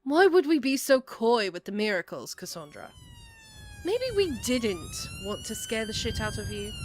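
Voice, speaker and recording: Lucy, a woman acting high-voiced haughty god. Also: huge pitch swings, moderate pace, darkish tone. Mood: taunting. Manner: arch, mocking, theatrical. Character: haughty, flippant female god, theatrical and volatile. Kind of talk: amateur fandub voice acting.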